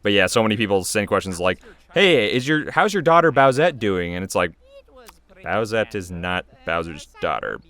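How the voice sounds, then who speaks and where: Deep, smooth-voiced man commenting casually. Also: sing-song, normal speed, fairly bright tone. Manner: chatty, rambling. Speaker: video creator giving commentary.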